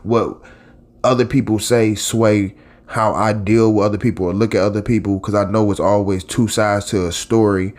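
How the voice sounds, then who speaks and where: Deep-voiced man in his late thirties. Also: fairly even intonation, moderate pace, darkish tone. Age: late 30s. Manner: rambling and conversational, with many restarts and asides. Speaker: YouTube blogger and channel host. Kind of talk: casual talk straight to camera.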